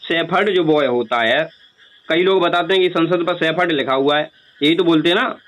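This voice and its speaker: A man's voice: a little high, normal speed, a bit bright. A man arguing on a recorded phone call in an insistent, lecturing way, pressing his point with repeated rhetorical questions. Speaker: speaker who performs religious stories on stage, on a call. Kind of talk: argumentative phone conversation.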